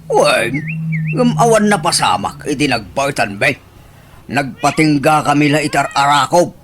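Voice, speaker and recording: A man's voice: a little high, expressive, measured pace, fairly bright tone, faint music behind. A man playing an indignant villager in an Ilocano comedy radio drama. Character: indignant village man protesting his innocence.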